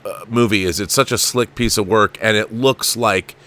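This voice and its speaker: Middle aged guy hosting a chat show, lowish forceful voice, gushing and emphatic. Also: moderate pace. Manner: effusive, emphatic. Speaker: show host. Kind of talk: enthusiastic interview chat.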